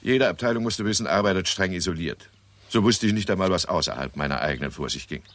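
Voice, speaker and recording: A man playing a wry, worldly scientist with a deliberate manner in a 1960s German radio play. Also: deep voice, fairly bright tone. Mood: sardonic. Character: wry, worldly male scientist, dryly mocking bureaucracy.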